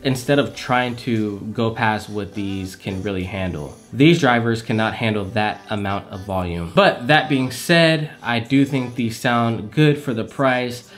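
A young man talks through a gadget review from his desk at home, relaxed and conversational. He leans on repeated words for emphasis, with faint music underneath. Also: on the low side, unhurried, few pauses. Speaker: tech reviewer. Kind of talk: casual product review to camera. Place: small home room with desk and monitors.

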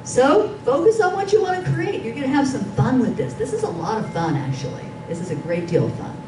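Older female workshop presenter, higher-pitched voice, emphatic, recorded from a distance. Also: big pitch swings, brisk. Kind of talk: informal conference presentation. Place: dim conference meeting room. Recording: distant, echoey, noisy room recording.

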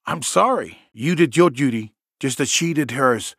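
Animated, dutiful guard captain. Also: male voice, medium pitch, moderate pace, slightly rough, no room sound, studio quality. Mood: earnest, dutiful. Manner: earnest, formal, commanding. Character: dutiful, protective male royal guard captain. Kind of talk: video game voice acting.

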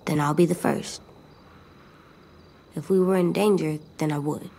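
A woman playing a solemn, stubborn, anxious little boy survivor in a video game drama, speaking in a quiet, earnest, guarded way. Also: deep voice, fairly even intonation, unhurried, creaky, exaggerated voice.

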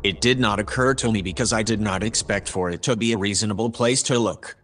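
An actor playing a polite, precise AI observer in an audio drama, speaking in a formal, measured way. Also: male voice, fairly low pitch, a bit bright. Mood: apologetic. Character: polite, precise male AI observer aboard a spaceship.

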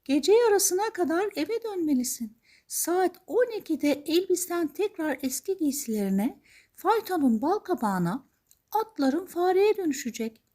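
Woman voicing a high-pitched kindly fairy godmother. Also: big pitch swings, measured pace, warm tone, exaggerated voice. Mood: gently warning. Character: kindly, brisk fairy godmother, magical and commanding.

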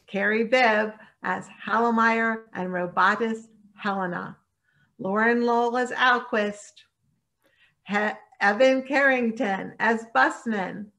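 A woman's voice: on the high side, fairly even intonation, takes it slowly, creaky, fairly bright tone. A middle-aged woman reading scripted announcements as the announcer on a video call.

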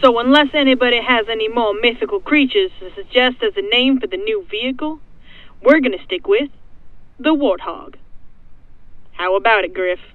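A woman's voice: a little high, moderate pace, no room sound, close to an everyday voice. Woman doing a bossy sarcastic sergeant guy, barks orders, cartoon dub, phone-like audio. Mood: mocking. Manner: barking, drawling mock orders. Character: gruff bossy male army sergeant, sarcastic and bullying. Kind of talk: fan parody dub of a comedy machinima.

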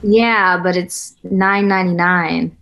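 A woman's voice: on the low side, steady tone, slow pace, creaky, fairly bright tone, lo-fi sound, heavily acted. A woman in her thirties acting a frank young woman at a screenplay table read. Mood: pressing. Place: plain indoor room, video call.

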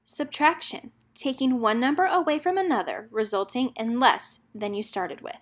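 A woman with a high-pitched voice reads a children's picture book aloud. She narrates expressively, following the rhythm of rhyming verse. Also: normal speed.

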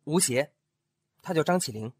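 An actor playing an earnest, kind-hearted young male scholar in an audio drama, with a gentle, earnest delivery. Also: a little high, expressive, moderate pace, noisy background, close to an everyday voice. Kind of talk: amateur audio drama acting.

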